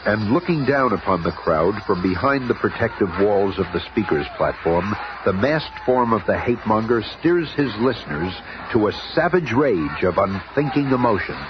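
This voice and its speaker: A man's voice: fairly low pitch, very animated, normal speed, hardly any pauses. A man narrating a 1970s radio drama as a dramatic storyteller. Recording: noisy, dull 1970s radio recording.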